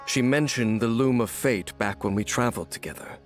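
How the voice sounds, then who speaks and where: An actor playing a solemn, guarded ancient swordsman in an anime game, speaking in a grave, measured, formal manner. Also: mid-range voice, faint music behind, lightly acted. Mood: brooding.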